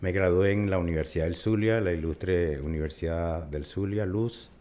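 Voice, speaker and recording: Older Venezuelan urologist, low-pitched, chatty raconteur. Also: male voice, moderate pace, warm tone. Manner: chatty storyteller, recounts at length. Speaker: urologist guest. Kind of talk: conversational interview.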